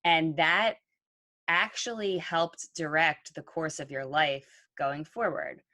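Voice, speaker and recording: Woman, podcast co-host, low voice, reflective and earnest, dry close recording. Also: steady tone, slow, sharp tone, dry recording. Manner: earnest, reflective, with frequent ums. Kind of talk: conversational podcast talk.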